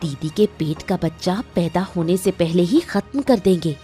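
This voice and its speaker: A woman voicing an emotional, calculating village wife in a Hindi cartoon moral story, with earnest, deliberate dramatic delivery. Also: on the low side, expressive, warm tone, soft background music, lightly acted. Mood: scheming.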